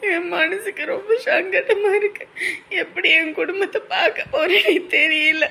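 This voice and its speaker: Woman as a sobbing young bride-to-be, wailing, very high, bright. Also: moderate pace, full character voice.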